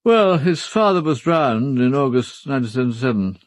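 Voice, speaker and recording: An actor playing a reserved titled British husband, measured and firm, in a radio drama. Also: male voice, mid-range voice, animated, no echo, natural voice. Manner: measured, matter-of-fact, firm. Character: reserved upper-class husband, a titled gentleman. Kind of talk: full-cast radio drama acting.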